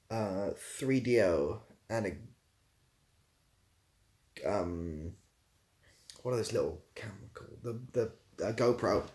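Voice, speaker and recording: Low-voiced man chatting casually on a livestream. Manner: rambling, informal, hesitant searching for words. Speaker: ASMR creator hosting a livestream; theatre assistant producer. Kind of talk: casual livestream chat, answering viewer comments.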